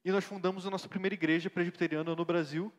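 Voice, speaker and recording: A man's voice: sits high, steady tone, very fast, natural voice. A man playing an earnest young missionary pastor, telling his life story in a staged interview. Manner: earnest, reflective storytelling with frequent tag questions. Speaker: interviewee in a church play. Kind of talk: staged interview, church theatre. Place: church hall. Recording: reverberant church hall.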